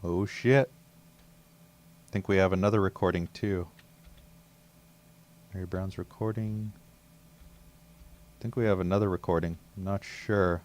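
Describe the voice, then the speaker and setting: A man's voice: deep voice, fairly even intonation, measured pace, long pauses, a little mellow. A man casually commenting while playing a video game, laid-back and muttering to himself. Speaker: let's play host.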